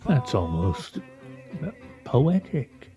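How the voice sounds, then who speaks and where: A man's voice: mid-range voice, big pitch swings, slow pace, over music. An actor playing a dry, pedantic, wryly mocking man in a radio play. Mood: sceptical. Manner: droll, pedantic.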